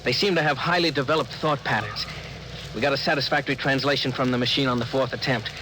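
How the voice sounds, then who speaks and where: An actor playing an eager, dutiful spaceship officer, brisk, earnest and deferential, in a 1950s radio drama. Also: male voice, mid-range voice, close to an everyday voice. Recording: faintly noisy old radio broadcast.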